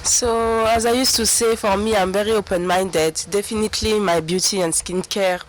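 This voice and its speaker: A woman's voice: medium pitch, fairly even intonation, normal speed, a little hiss or hum, rough recording. A woman with a sweet voice, an earnest guest on a radio interview. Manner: soft-spoken, earnest, sweet voice. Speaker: reality show ex-contestant guest. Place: radio studio.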